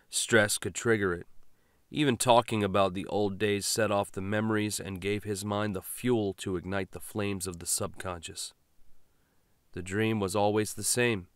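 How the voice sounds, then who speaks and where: A man's voice: fairly low pitch, fairly bright tone, clear, resonant tone, no room sound, studio quality. A man reading scripted fiction as an audiobook narrator.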